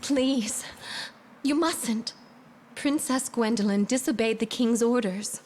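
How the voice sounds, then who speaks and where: A woman's voice: a little high, slow pace, a little mellow. A woman playing an anxious attendant to a princess in a fantasy game, earnest and pleading. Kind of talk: dramatic fantasy game acting.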